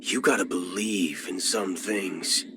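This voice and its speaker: An actor playing a cocky, folksy cyborg cowboy outlaw in a video game, with a Southern American drawl. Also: male voice, deep voice, steady tone, takes it slowly, vocal fry, noisy background, heavily acted. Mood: wry, folksy. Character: swaggering cyborg cowboy gunslinger outlaw, adult male. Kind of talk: video game character voice lines. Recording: music under the voice. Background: background music.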